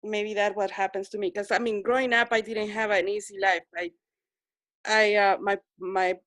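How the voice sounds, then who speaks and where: A woman's voice: medium pitch, level tone, moderate pace, fairly bright tone, no echo, clean studio sound. A woman in her forties from El Salvador, telling her own story on a video call in an earnest way and repeating herself for emphasis. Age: 40s. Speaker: Zoom meeting participant. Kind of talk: personal story in group video chat.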